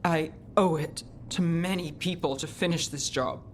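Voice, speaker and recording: A slow-spoken, weary, determined mage. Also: female voice, low-pitched, a little hiss or hum. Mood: somber, resolute. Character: weary, determined woman mage, wry and fond. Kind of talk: dramatic video game cutscene acting.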